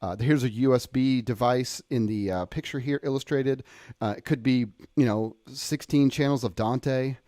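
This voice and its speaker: A man walks through a technical demonstration in an informal, explanatory style. His delivery is unpolished and a little apologetic, as if thinking aloud. He has a middling pitch, and the sound is close and dry, with very little room. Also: normal speed, mellow, no room sound. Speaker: presenter demonstrating audio software. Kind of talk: informal tutorial walkthrough.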